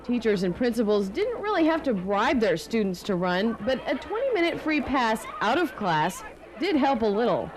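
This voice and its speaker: A woman narrates a scripted news report. Her voice is fairly high and moves in lively swings of pitch, as a television reporter. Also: normal speed, a crowd in the background.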